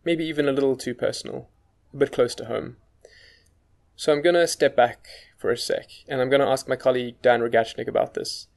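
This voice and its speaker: A man's voice: medium pitch, fairly even intonation, brisk, creaky. A man with a South African accent giving scripted first-person podcast narration in a wry, conversational way. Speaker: guest podcast presenter.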